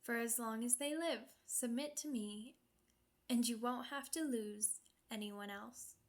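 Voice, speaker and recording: A woman's voice: fairly high pitch, unhurried. A woman playing a sadistic, seductive vampire queen in an ASMR roleplay, with a purring, condescending, theatrical delivery. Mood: menacing. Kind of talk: ASMR roleplay, scripted villain monologue.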